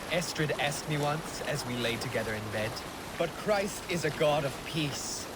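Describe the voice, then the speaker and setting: A man's voice: on the high side, animated, moderate pace, close to an everyday voice. A man playing a pagan Viking warrior musing on faith, in conversational video game dialogue. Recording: very loud sea and boat noise.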